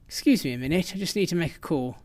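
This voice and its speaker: A man playing a ruthless, calm official with clipped menace in a radio drama. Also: fairly high pitch, quick pace, background noise, close to an everyday voice. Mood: cool assurance. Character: ruthless man quietly arranging a killing.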